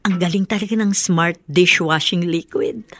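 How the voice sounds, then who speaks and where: A woman playing a talkative, protective middle-aged Filipino mother in a radio drama, expressive and full of exclamations. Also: on the low side, moderate pace, no echo. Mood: chatty.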